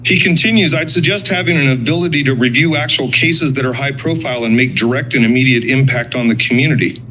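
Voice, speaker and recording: A man's voice: mid-range voice, quick pace, bright, forceful tone, slight background noise, rough recording. A blunt, sarcastic man giving confrontational public comment at a meeting, swearing and accusing.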